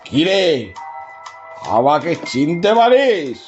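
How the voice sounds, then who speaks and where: Man playing a slow-spoken, stern detective. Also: a little high, sing-song, faint music behind, full character voice. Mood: menacing interrogation. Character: stern, commanding male detective. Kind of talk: audio drama acting. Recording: dull, band-limited audio.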